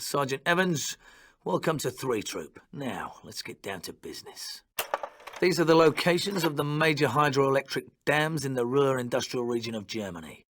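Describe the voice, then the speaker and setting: A man's voice: medium pitch, fairly bright tone, lightly acted. Man acting a commanding British army officer, noisy recording. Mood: brisk, businesslike. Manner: commanding, clipped, matter-of-fact. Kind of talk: scripted military briefing in a war game.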